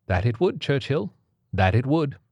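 A man's voice: medium pitch, sing-song, normal speed, a little mellow, breathy edge, no room sound, voice pushed up. A man voicing a blunt, wry male captain on the run in an adventure story. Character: gruffly blunt male captain, fellow fugitive in adventure tale.

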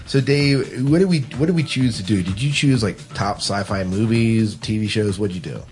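A man hosting a podcast in casual chat, halting and often repeating his words. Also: on the low side, a little mellow, soft background music. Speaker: podcast host.

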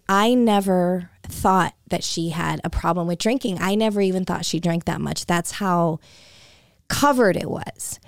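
Hoarse woman podcast host, chatty solo talk, dry close sound. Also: mid-range voice, no room sound. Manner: chatty and conversational, with frequent restarts. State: hoarse, voice coming and going.

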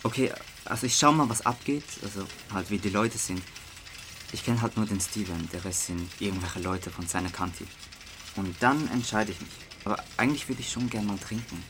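Low-voiced teenage boy, casual and curious acted by a young man. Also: fairly quick, background noise, lightly acted. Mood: curious, tentative. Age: young adult. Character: curious, easygoing teenage boy trying partying for the first time. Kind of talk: amateur radio drama dialogue.

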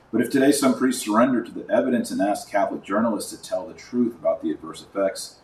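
A man hosting a podcast, giving live commentary and reading aloud in an emphatic, colloquial manner with dramatic pauses. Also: deep voice, darkish tone. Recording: roomy, distant mic, faint background noise.

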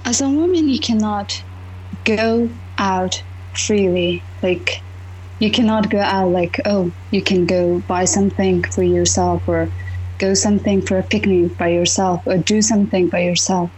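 Halting Afghan-accented woman, faint background hum. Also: mid-range voice, steady tone, darkish tone, low-quality recording. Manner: halting, searching for words. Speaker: podcast guest sharing her story. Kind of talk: informal personal storytelling.

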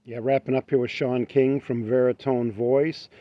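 A man's voice: medium pitch, flat delivery, dry recording. Hesitant male interviewer, dry lo-fi sound. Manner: halting questions with many fillers. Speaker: interviewer host. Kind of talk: interview questions.

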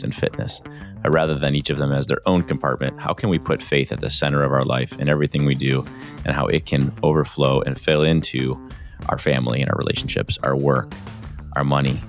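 A man's voice: very deep voice, gravelly. A man hosting a faith podcast, speaking earnestly with many fillers and restarts. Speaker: podcast host. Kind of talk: faith podcast intro and interview.

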